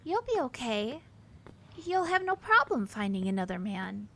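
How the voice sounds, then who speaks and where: An actress playing a warm, chatty young palace worker with a romantic streak, eager and sympathetic, in an audio drama. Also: female voice, fairly high pitch, big pitch swings, unhurried, gravelly, full character voice. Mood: encouraging, playful.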